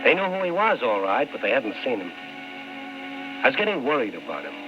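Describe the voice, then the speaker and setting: A man's voice: medium pitch, wildly swooping pitch, fairly quick. An actor playing a world-weary newspaper columnist in old-time radio drama style, wry and clipped as he tells his story. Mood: wry, brooding. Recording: muffled vintage radio recording with music.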